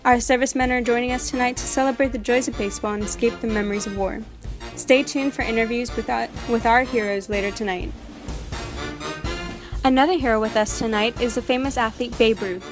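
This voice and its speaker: A woman's voice: on the high side, steady tone, a little fast. A woman presenting a scripted 1920s-style broadcast introduction as a news presenter. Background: music.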